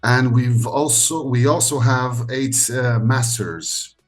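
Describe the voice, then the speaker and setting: A middle-aged man from Portugal speaking as an interview guest, measured and pausing often with fillers, over a video call. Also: medium pitch, soft background music. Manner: measured, with frequent pauses and fillers. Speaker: university educator, interview guest. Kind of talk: conversational interview.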